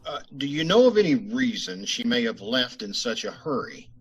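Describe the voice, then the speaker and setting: A man playing a calm, courteous police detective questioning a witness in old-time radio style. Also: medium pitch, normal speed, husky. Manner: level, courteous, businesslike questioning.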